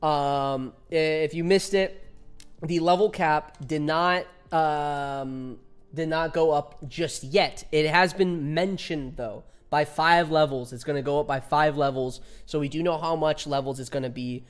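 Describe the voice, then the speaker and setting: A man's voice: fairly high pitch, a little slow, clear, resonant tone, soft background music. A man in his twenties chatting casually with viewers while streaming a game, laughing often and reading chat aloud. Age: 20s.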